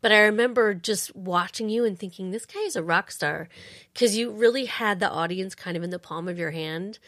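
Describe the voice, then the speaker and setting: A woman hosting a casual interview, chatty and quick to laugh. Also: medium pitch, fairly bright tone. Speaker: podcast host.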